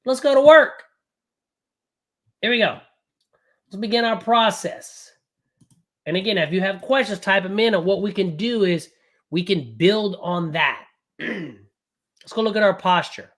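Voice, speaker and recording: A man running a live trading class, recovering from a cold, talking in a loud, brash and profane way with lots of rambling asides. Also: high-pitched, animated. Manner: casual, fast and rambling, with frequent "right?". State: recovering from a cold, voice not fully back. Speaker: day trading coach.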